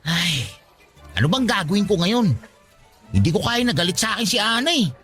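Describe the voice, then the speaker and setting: A man plays a lovesick young fast-food worker with a high, forceful voice. He is jealous, whiny and pleading, radio-drama style, and his pitch leaps about animatedly. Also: sing-song, slightly rough, faint music behind, exaggerated voice. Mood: anxious, dejected. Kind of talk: Tagalog radio drama acting.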